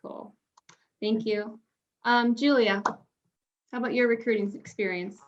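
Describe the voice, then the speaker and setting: A woman's voice: on the high side, steady tone, a little slow, dull audio. A woman who coaches college tennis, hosting the interview and keeping it going with short, encouraging replies. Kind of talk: video call interview.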